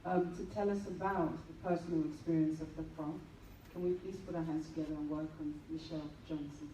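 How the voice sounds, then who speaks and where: A woman acting as master of ceremonies, loudly announcing speakers at an event. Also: low voice, fairly even intonation, fairly quick. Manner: loud and upbeat, hurrying the program along. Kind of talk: hosting an event. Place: large event hall. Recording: echoey hall, distant, noisy.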